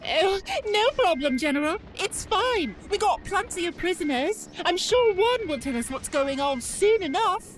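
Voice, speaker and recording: A woman's voice: extremely high pitch, expressive, normal speed, hardly any pauses, poor audio. A woman playing a plain-spoken, exasperated young female lieutenant in a science fiction audio drama, blunt in manner. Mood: reassuring. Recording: faint background noise.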